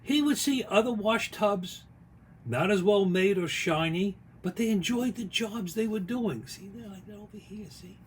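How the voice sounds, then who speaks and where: A man reading their own picture book aloud in an expressive, folksy way, with chatty asides along the way. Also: high voice, a little hiss or hum. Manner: folksy, expressive, pausing to comment on the story.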